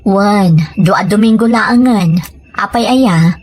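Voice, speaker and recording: A woman with an Ilocano accent playing a gentle, pleading wife and mother in a serial drama, speaking in a plaintive, appealing way. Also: medium pitch, slow, slight background noise, lightly acted. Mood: concerned. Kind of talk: radio-style serial drama acting.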